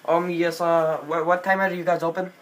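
A man's voice: fairly high pitch, level tone, moderate pace, fairly bright tone, lightly acted. A young man playing a polite, earnest, apologetic Indian caller who hesitates with many ums. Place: small bedroom. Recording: noisy amateur phone-video recording.

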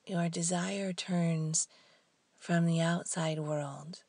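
A woman leading a guided meditation in a soft, hushed, breathy voice, leaving long pauses between short phrases. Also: on the low side, fairly even intonation, no room sound.

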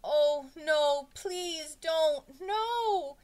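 A woman voicing a whiny, panicky young schoolboy from a children's storybook, exclaiming and pleading in drawn-out cries. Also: fairly low pitch, sing-song. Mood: distressed.

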